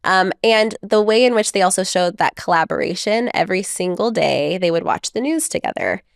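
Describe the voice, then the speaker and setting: A married mother and podcast guest, a woman speaking in a reflective, measured way, telling stories with light laughs. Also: mid-range voice, animated, vocal fry, no room sound, studio quality. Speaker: guest author. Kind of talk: conversational podcast interview.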